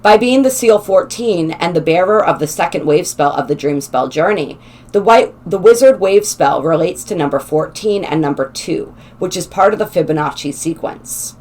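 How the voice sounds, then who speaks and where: A woman hosting a spiritual channel, her voice slightly raspy, talking in a gentle, flowing way with some halting restarts. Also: fairly low pitch, lively intonation. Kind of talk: casual spoken explainer, partly read aloud.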